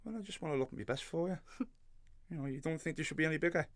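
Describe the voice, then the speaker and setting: A man's voice: a little high, lively intonation, fast talker, fairly bright tone. A secretive husband in a radio drama. He is short-tempered and evasive. His delivery is curt and irritable, as a grown man who resents being questioned. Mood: weary, placating.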